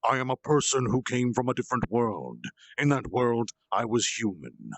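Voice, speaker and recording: A man voicing a gentle, earnest slime monster leader who was once human, speaking in a solemn, halting confessional way for a storytime reading. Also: medium pitch, animated, no echo. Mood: solemn, remorseful.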